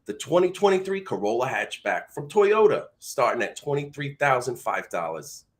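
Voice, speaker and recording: Man putting on a New York pitchman. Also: very animated, moderate pace, lightly acted. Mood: upbeat sales. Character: male New Yorker pitching products in commercials. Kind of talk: commercial voice-over read.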